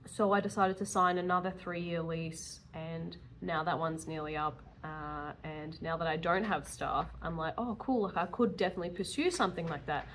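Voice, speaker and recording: A woman's voice: fairly low pitch, steady tone, moderate pace, few pauses, slight background noise, rough recording. A woman in her early thirties talking casually and candidly in a rambling, chatty vlog style, with self-deprecating asides. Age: early 30s. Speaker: vlogger, self-employed dog groomer.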